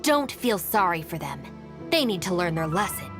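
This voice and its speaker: A woman's voice: lowish voice, big pitch swings, normal speed. A woman playing a stern, exasperated young gang deputy in a video game, curt, dry and scolding. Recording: music behind the voice.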